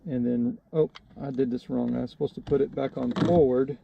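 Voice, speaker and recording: A man's voice: mid-range voice, fairly even intonation, fairly quick, gravelly, lo-fi sound, noisy background. A man giving a casual, hands-on how-to explanation in a hesitant, unscripted and modest way, as the host of a DIY video. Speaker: DIY video host demonstrating a radio installation.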